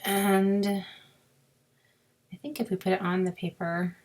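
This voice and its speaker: A woman with a sore, scratchy throat, explaining a crafting software task step by step in a chatty way and muttering to herself as she clicks. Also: mid-range voice, level tone, a little slow. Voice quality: raspy with creaky fry. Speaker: crafting tutorial host. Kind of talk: screen-recorded software walkthrough.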